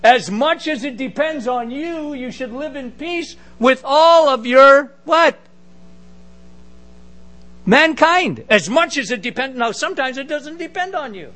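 A middle-aged man with a big booming voice preaching a sermon, emphatic with conversational asides. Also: lively intonation, measured pace, pushed and bright.

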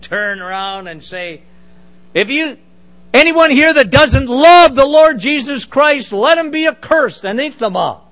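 A middle-aged man with a big booming voice preaching a sermon, emphatic with conversational asides. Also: animated, a little slow, a bit bright, poor audio.

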